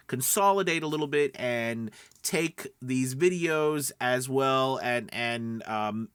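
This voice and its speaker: A man's voice: medium pitch, sharp tone, clear, resonant tone, no echo, clean studio sound. A middle-aged man hosting a voice-over coaching video podcast, talking casually and instructionally, rambling and often rephrasing himself. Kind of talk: casual instructional vlog talk.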